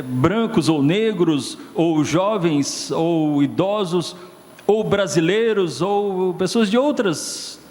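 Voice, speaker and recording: A man's voice: on the high side, a little mellow. A male pastor preaching a sermon, asking rising emphatic questions, then interviewing a guest on stage. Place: church sanctuary. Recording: long church hall reverb, faint noise.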